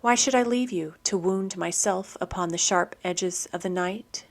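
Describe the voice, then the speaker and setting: A woman with a slightly low voice presents poetry in scripted narration. Her delivery is measured and literary, suited both to explaining verse and to reading it aloud. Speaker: poetry program host.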